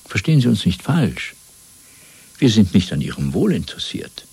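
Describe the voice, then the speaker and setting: Domineering party interrogator, radio drama, very breathy husky voice, widely swinging intonation, cold, didactic, absolutist. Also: male voice, fairly low pitch, big pitch swings, no room sound, full character voice. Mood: cold, lecturing. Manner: didactic, deliberate, swelling from hushed to emphatic. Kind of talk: German radio drama acting.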